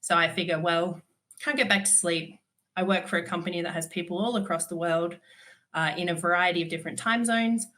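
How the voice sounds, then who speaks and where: A woman's voice: on the low side, steady tone, brisk, a bit bright. A woman, giving a conference talk while stuffy from a cold, candid with dry humour and frequent ums. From Australia. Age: 30s. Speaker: conference speaker.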